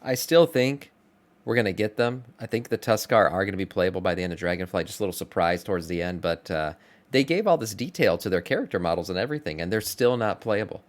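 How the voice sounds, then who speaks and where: A man's voice: low-pitched, lively intonation, fast. A man in his thirties giving off-the-cuff, informal reaction commentary as a streamer, musing aloud. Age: 30s. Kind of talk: casual live reaction commentary.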